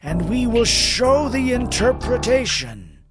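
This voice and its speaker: A man's voice: very high-pitched, big pitch swings, slow pace, over music, heavily acted. An actor playing a deferential court sage in a dramatized audio Bible, formally supplicating his king.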